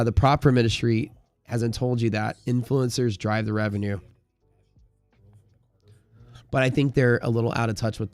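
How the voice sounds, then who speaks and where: A man's voice: mid-range voice, vocal fry, no echo. A man hosting a livestream in casual, slangy, emphatic conversation, answering viewers and giving his opinions. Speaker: livestream host and trading community leader.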